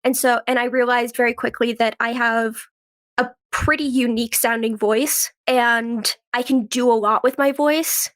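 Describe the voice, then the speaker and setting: High-pitched young woman with a cold. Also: steady tone, normal speed, a bit bright. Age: 20s. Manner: youthful, conversational, peppers talk with "like". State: has a cold. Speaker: guest, actress and writer. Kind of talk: casual interview chat.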